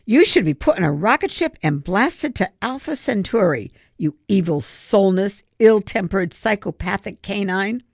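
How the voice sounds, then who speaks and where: A woman's voice: mid-range voice, lively intonation, normal speed, vocal fry, few pauses, a little mellow, no echo, clean studio sound, pitched above the performer's own voice. A woman voicing an indignant schoolgirl animal lover who scolds emphatically in an audiobook story. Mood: furious, outraged.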